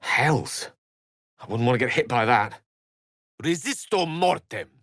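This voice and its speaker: A learned, witty wizard from a fantasy video game. His phrasing is ornate and wry, with courteous irony and a lively, swooping melody. The middle-pitched voice is captured close and dry. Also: a little slow, dry recording. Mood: urgent, dramatic. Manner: eloquent, wry, theatrical phrasing.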